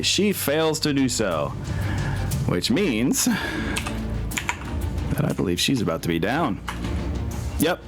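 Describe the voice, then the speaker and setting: Male game master, lowish pitch, unhurried and deliberate narration over clear background music. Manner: deliberate, thinking aloud. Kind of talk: narrating a tabletop game session. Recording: music behind the voice.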